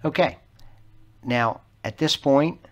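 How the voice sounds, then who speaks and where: A man's voice: mid-range voice, takes it slowly. A man narrating an instructional tutorial as its host, explaining things step by step in a plainspoken way.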